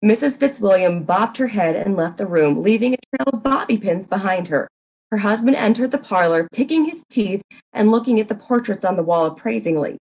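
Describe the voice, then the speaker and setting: A woman's voice: medium pitch, lively intonation, a little fast, a little mellow, low-quality recording. A woman narrator reading a scripted story.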